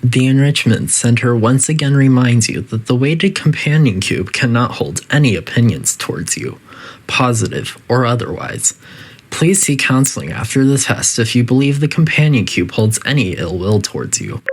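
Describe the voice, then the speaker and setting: A man's voice: mid-range voice, expressive, moderate pace, husky. A man voicing a coolly polite, faintly menacing facility AI that gives formal, detached test-chamber announcements in a video game. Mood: clinical, detached.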